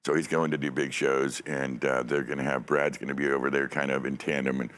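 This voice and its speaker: A middle-aged man hosting a podcast from a dark studio set, talking on a studio mic in a rambling, profane way and laughing often. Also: a bass voice, flat delivery, fairly quick. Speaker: podcast host. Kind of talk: casual chat. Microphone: studio mic on a stand.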